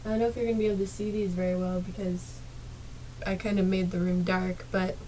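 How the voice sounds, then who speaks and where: A young woman streaming a game from her small dark bedroom, chatting casually to viewers with a sore, partly lost voice and drifting into mumbles. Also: medium pitch, little pitch movement, fast, slight background noise, low-quality recording. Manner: soft-spoken, drifts into whispers and mumbles. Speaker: gaming streamer.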